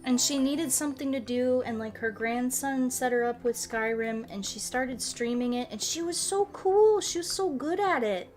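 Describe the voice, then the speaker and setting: A woman's voice: high voice, moderate pace, few pauses. Young woman chatting casually over music. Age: late 20s. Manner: informal, rambling, animated, prone to swearing. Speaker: livestream host. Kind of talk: casual chat while playing a game, reading and answering chat.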